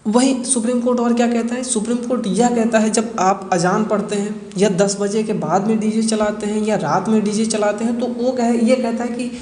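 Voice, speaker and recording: A man's voice: high voice, flat delivery, moderate pace, few pauses, darkish tone. A man giving an explanatory current-affairs lecture in a didactic way, posing rhetorical questions and answering them himself. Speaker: educational explainer presenter. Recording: echoey reverberant room.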